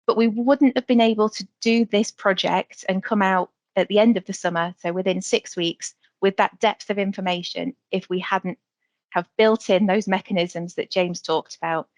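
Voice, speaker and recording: A woman presenting slides on a webinar video call, talking quickly with some fillers. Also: medium pitch, fairly even intonation, dry recording. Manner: quick, slightly hurried delivery with fillers.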